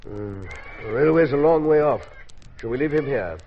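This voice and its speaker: An actor with a British accent playing a gruff, practical colonial army colonel, clipped and matter-of-fact, in 1950s radio drama style. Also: male voice, mid-range voice, expressive, normal speed, slight background noise, lightly acted. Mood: businesslike. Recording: dull 1950s radio recording.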